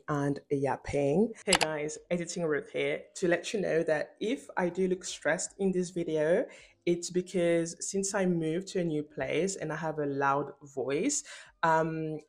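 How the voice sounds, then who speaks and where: A young woman talking casually and emphatically to camera in an informal, slangy video essay style, recorded in a room at home. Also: low voice. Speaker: video essayist and channel host.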